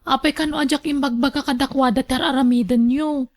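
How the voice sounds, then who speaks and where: A woman performs a younger woman with a distinctly high voice, looking after an elderly mother. She is long-suffering and earnest, reasoning her way through a radio drama. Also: steady tone, brisk, a little mellow, lightly acted. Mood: earnest explaining.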